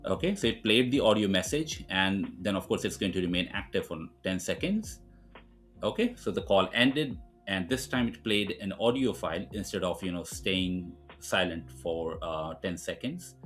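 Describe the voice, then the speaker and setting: A man presenting a screen-recorded software tutorial, explaining things informally step by step. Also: lowish voice, expressive, moderate pace, soft background music.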